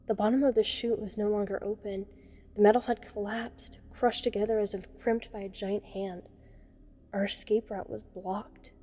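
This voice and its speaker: A woman's voice: mid-range voice, steady tone, moderate pace, mellow, lightly acted. A woman playing a frightened young woman, narrating a scripted first-person horror story. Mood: grim. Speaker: voice actor narrating a fan audio drama.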